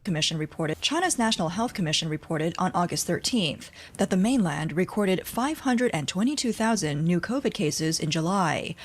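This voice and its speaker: A woman narrating a scripted news explainer, reading reports and quoted posts in a measured, even delivery. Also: fairly low pitch.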